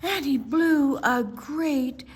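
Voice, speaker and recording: A woman with a fairly high voice reads a rhyming picture book to children. She takes it very slowly, leaning on key words and pausing for drama. Her delivery is expressive and theatrical, like a librarian at storytime. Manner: theatrical, with dramatic pauses, gasps and emphasis.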